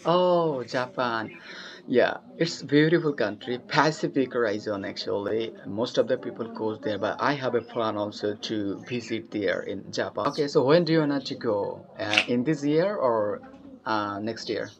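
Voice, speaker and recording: A man's voice: medium pitch, unhurried, crowd noise. Chatty young Bangladeshi man on a call. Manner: eager, chatty, laughs often, hesitant fillers. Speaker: host practicing English. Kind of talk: casual conversation practice. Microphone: video call. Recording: dull lo-fi call audio.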